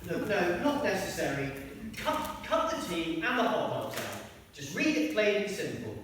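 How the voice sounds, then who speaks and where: A woman's voice: on the low side, very animated, moderate pace, distant mic, a little hiss or hum. A woman playing an exasperated, bossy director, recorded in an echoey hall from afar.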